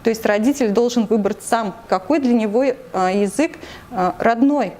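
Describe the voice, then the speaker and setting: A woman's voice: a little high, moderate pace, a little mellow. A woman from Tatarstan, an interview guest, explaining her view earnestly with frequent hesitations and restarts. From Tatarstan, Russia. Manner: hesitant, many fillers and restarts. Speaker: interview guest, anti-corruption committee member.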